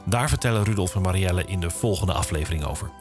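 A man with a very low voice hosts a podcast. Faint music can be heard with his voice. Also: lively intonation, quick pace, darkish tone. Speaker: podcast host. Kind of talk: podcast hosting.

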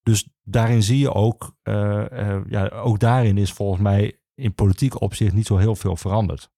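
A low-voiced man with a creaky, mellow tone, explaining in an interview. He picks his way through sentences haltingly, often correcting himself. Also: steady tone, no room sound, studio quality. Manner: halting, hesitant phrasing with self-corrections. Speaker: archivist, podcast guest. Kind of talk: explanatory interview talk on history.

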